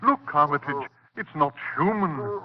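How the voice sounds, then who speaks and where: High, panicky radio professor. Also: male voice, lively intonation, a little slow, slight background noise. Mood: panicked, aghast. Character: terrified, squeamish male professor, panicky colleague. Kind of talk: 1940s radio drama acting. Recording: muffled old radio broadcast.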